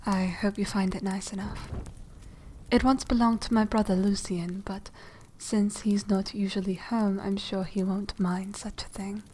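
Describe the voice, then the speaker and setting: A woman playing a polite, secretive young noble vampire lady in a soft, half-whispered ASMR roleplay, speaking formally and with measured care. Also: medium pitch, level tone, slight background noise, lightly acted, low-quality recording. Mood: courteous, reserved. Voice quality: soft, breathy whispering edge. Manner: hushed, formal, measured, genteel.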